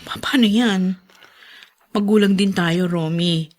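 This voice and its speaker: A woman's voice: medium pitch, fairly even intonation, slow, darkish tone, close to an everyday voice. A woman playing a concerned, conscientious wife and mother in a radio drama.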